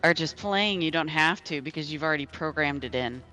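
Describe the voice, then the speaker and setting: A man's voice: fairly high pitch, a little fast, music in the background. A man in his thirties chatting casually with fellow players over a headset, rambling with lots of fillers. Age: 30s. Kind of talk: casual gaming chat.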